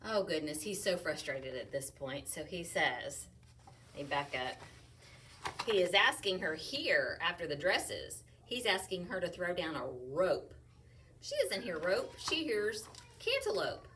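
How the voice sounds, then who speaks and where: A woman teacher walks young children through a picture book, speaking slowly and playfully. Her pitch swoops up and down as she sounds out rhyming words. She sits a little back from the mic, and a faint hum hangs in the room. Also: recorded from a distance. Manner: slow, playful and expressive, sounding out rhymes. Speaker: teacher reading to her class. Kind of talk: read-aloud story discussion for young children.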